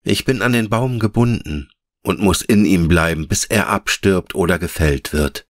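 A gentle female elf of the trees from a storybook, acted out by a man. The voice is low and dry, with a measured, solemn tenderness and patient, lyrical phrasing. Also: no echo, close to an everyday voice. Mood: gentle, explaining. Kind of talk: fairy-tale audiobook character.

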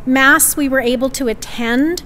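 A woman teacher answering in a measured, wry way. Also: high voice, bright, forceful tone, faint music behind. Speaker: communication technology teacher. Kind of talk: casual interview answer. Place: empty school hallway. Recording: hallway reverb.

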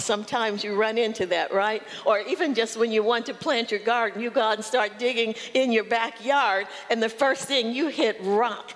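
Slow-spoken older woman preaching in a church. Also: fairly high pitch. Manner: measured, conversational preaching with wide gestures. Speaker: guest preacher. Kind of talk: sermon. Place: large church sanctuary. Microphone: headset mic. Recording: long reverb of a church hall.

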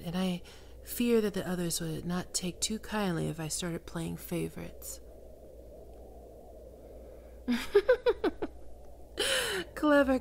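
A woman voicing a seductive, domineering female demon queen of lust in an ASMR roleplay, speaking slowly and sultrily, often in a whisper. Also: medium pitch, slight background noise.

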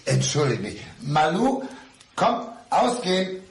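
An actor playing a calm, embittered, menacing ex-husband in a radio crime play, speaking with measured, mock-gentle politeness. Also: male voice, a little high, vocal fry, over music, exaggerated voice. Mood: genial, polite. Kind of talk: 1990s German radio crime play acting.

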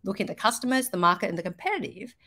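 A middle-aged woman with a medium-pitched voice gives an online business presentation. She explains things step by step in a conversational way, often adding little check-ins to the listener. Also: animated, very fast, pushed and bright. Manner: explanatory, checking in with "right?" and "you know". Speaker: startup marketing speaker. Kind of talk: slide presentation to an online audience. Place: indoors, on a video call.

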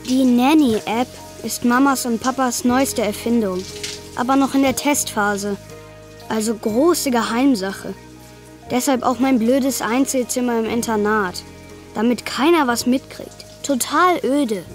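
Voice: medium pitch, measured pace, faint music behind, natural voice. A young performer playing an exasperated ten-year-old boy in a children's radio play, grumbling casually. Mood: fed-up confiding. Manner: casual, grumbling, youthful slang.

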